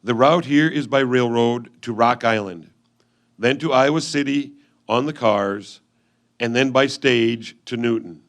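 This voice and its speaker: A man's voice: medium pitch, unhurried, lightly acted. A man reading as an earnest 1850s frontier husband. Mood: earnest, businesslike. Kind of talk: reader's theatre letter reading.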